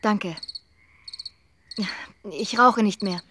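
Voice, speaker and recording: A woman acting in a radio drama as a direct, inquisitive journalist with a brisk questioning manner. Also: medium pitch. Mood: curious. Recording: reverberant, with clear background noise.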